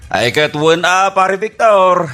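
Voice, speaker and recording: A man's voice: high-pitched, lively intonation, slow pace, pushed and bright, heavily acted. An actor with an Ilocano accent playing a stubborn, amorous farmer husband in a radio comedy drama, drawing out his words and interjections. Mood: stubborn, protesting.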